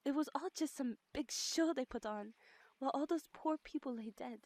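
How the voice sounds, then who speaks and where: Very high-voiced earnest female operative. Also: darkish tone, dry recording. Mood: earnest, troubled. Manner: earnest, emotional, unhurried. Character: idealistic, compassionate young female operative, burdened by guilt. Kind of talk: radio drama acting. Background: background music.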